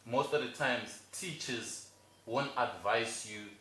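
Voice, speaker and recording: Young South African man, earnest halting co-host, echoey room, light noise. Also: medium pitch, a bit bright. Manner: earnest, halting, with many restarts. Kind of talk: casual chat.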